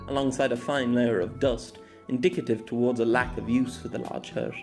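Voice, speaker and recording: A man narrating his own fantasy novella as an amateur audiobook, telling it in an expressive storytelling manner. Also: medium pitch.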